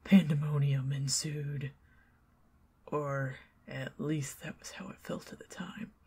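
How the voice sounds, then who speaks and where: A woman with a Southern American accent doing an ASMR roleplay as a chatty, teasing neighbor who talks to the listener and drifts into soft whispers. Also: low-pitched, level tone, takes it slowly, slightly rough. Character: friendly talkative young woman neighbor.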